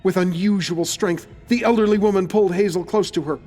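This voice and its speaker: A man delivers scripted narration for a horror audio drama. His voice is on the higher side, and he tells the story in a dramatic, suspenseful way. Quiet music sits underneath. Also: steady tone, brisk.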